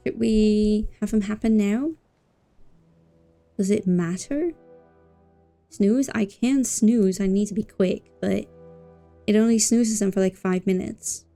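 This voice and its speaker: Streamer girl chatting over game music, mellow soft-edged tone, thinking out loud, informal. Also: medium pitch, takes it slowly, pauses often. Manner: informal, musing aloud. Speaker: livestreamer. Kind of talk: casual commentary on a game.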